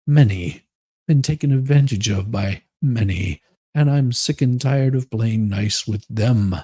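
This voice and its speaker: Guy doing a wronged self-righteous woman, husky and mellow, indignant dramatic reading, super dry sound. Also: mid-range voice, no echo. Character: aggrieved, self-righteous woman reading her written account.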